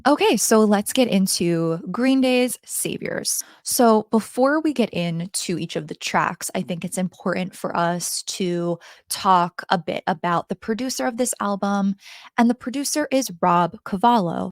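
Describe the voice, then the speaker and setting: A woman with a hoarse, raspy voice chatting straight to her viewers in a casual album review vlog, speaking into a handheld microphone. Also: medium pitch, measured pace, dry recording, clean studio sound. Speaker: music reviewer.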